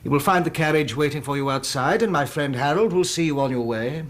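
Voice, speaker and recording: An actor playing a falsely courteous villain in a radio drama, husky and oily, with over-polite menace. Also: male voice, fairly high pitch, brisk, close to an everyday voice. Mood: menacing courtesy.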